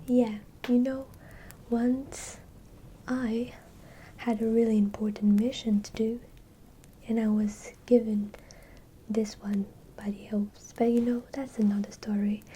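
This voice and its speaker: A woman playing a tender, doting village friend in a fantasy ASMR roleplay, whispering softly in a caring, hesitant, soothing way. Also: fairly high pitch, little pitch movement, takes it slowly, slight background noise. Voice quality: soft, breathy whispering. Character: tender, doting female Shire friend welcoming a hero home.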